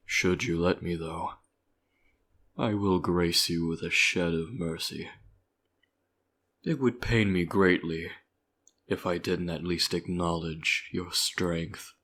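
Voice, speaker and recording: A man's voice: low-pitched, steady tone, slow, long pauses, fairly bright tone, slight background noise. A man playing an arrogant, suave and menacing vampire tyrant in an ASMR roleplay, talking in a theatrical, formal, mocking manner. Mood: mocking, condescending. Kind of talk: scripted ASMR villain roleplay. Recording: echoing voice over faint music.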